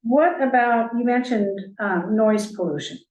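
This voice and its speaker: A woman's voice: fairly high pitch, measured pace, darkish tone, lo-fi sound. A woman chairing a public board meeting, asking staff pointed, leading questions. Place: council meeting room. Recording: roomy, distant meeting-room mic.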